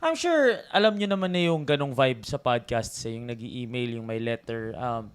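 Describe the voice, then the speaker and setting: A man's voice: mid-range voice, animated, normal speed, clean tone. Playful Filipino man in his thirties. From Philippines. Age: 30s. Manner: playful, banters in Taglish. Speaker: podcast host. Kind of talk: casual chat.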